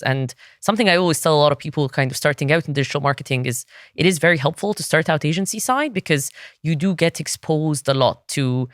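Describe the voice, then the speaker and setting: A woman in her thirties from Egypt whose first language is Arabic speaks as a guest in a relaxed interview. Her voice is smooth and clear, and she often restarts her sentences. The sound is dry and close, with no room echo. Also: deep voice. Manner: thoughtful, frequent restarts and fillers. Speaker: podcast guest, SEO consultant. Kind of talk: casual interview talk.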